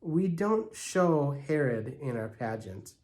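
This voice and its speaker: A man voicing a patient, earnest mother in a storybook, who explains and reads scripture in a measured way. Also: on the high side, very animated, a little mellow. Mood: patient explaining. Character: patient, earnest mother directing a children's pageant.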